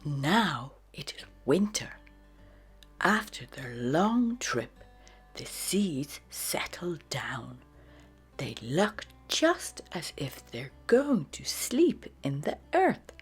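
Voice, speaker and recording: Woman reading a kids story super slow, really breathy voice, bit of music behind. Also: deep voice. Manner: slow, deliberate, expressive storytelling. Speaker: storybook narrator. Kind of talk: children's read-aloud narration.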